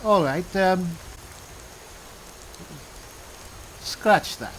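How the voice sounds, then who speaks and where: A man playing a skeptical high elf spellsword, musing and sardonic in a roleplayed video game. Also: slow, slight background noise, poor audio. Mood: musing, wry. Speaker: player roleplaying his game character.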